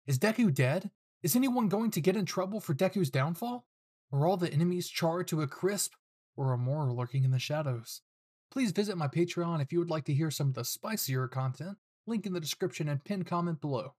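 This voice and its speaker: Playful male host, slightly high-pitched. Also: a little mellow. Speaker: channel host and voice actor. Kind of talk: scripted outro to viewers.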